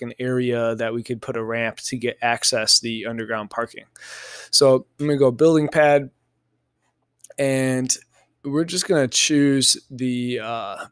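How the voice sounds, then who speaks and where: A man narrating a software tutorial in a casual, informal way, thinking aloud step by step as an instructor. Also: medium pitch, clean tone, no echo, studio quality.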